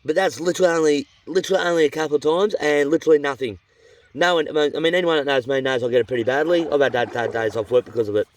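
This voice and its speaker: A man's voice: fairly high pitch, rapid-fire. A middle-aged Australian man on a long canoe trip, outdoors by a river, talking on in a tired, chatty, rambling way with slang and a slightly runny nose. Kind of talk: rambling casual vlog chat. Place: outdoors by a river among rocky cliffs. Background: birdsong in the bush.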